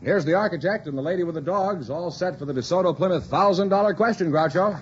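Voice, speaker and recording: A man's voice: high voice, fast, over music. An American man announcing on 1950s radio, reading scripted sponsor messages with a polished, emphatic sales delivery. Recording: dull lo-fi vintage radio recording.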